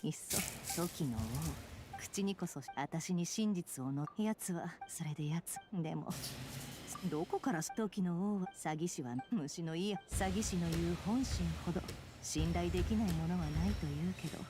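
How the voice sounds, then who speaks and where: An actress voicing a guarded, sardonic adult woman in game dialogue, with dry, measured, questioning replies. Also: on the low side, close to an everyday voice. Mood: wry. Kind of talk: Japanese game voice acting. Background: game music.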